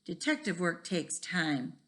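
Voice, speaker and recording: A woman's voice: on the low side, very animated, measured pace, fairly bright tone. Woman reading as an eager schoolboy detective. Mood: firm. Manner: eager, inquisitive. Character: earnest, curious schoolboy detective, about eight.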